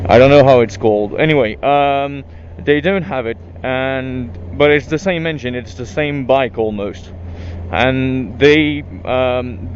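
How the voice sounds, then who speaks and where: A young Frenchman with a French accent chatting casually while riding his motorcycle through city traffic, halting and full of restarts. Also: mid-range voice. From France. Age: young adult. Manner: halting, many restarts and fillers, swears at traffic. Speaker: motorcycle vlogger. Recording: dull lo-fi helmet mic with faint traffic noise.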